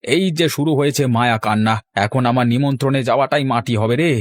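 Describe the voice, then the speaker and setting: A man's voice: mid-range voice, fast, no echo, lightly acted. A man playing a stingy, argumentative married man in a cartoon, scolding and sarcastic as he grumbles. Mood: irritated, scolding. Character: stingy, grumbling married man who loves free feasts. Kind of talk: Bengali cartoon voice acting.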